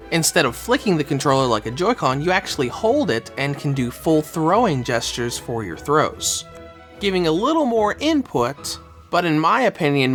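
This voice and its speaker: Guy reviewing a controller, kinda under the weather, pitch jumps all over, bit of music behind. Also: fairly bright tone. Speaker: game reviewer. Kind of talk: scripted product review narration.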